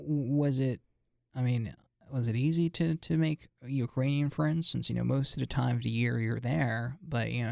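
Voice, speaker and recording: A man hosting a podcast interview, asking questions in a halting way and answering with frequent "yeah" and "mm-hmm". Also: medium pitch, vocal fry, a little mellow, dry recording, clean studio sound. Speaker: podcast host. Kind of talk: casual interview.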